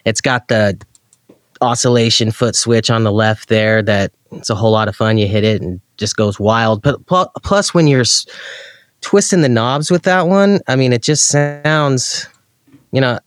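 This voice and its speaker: A man with a clear, smooth voice talks casually as an interview guest. He sounds like a laid-back enthusiast, full of slang. The sound is dry, with no room around him. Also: medium pitch, unhurried, no echo. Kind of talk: casual chat.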